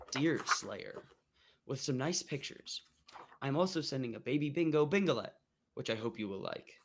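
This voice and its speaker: A man's mid-pitched voice reads letters aloud at an unhurried pace. He is still getting over an illness and stumbles on a word now and then. The reading stays measured and deliberate. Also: fairly bright tone. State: recovering from an illness. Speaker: guest reader.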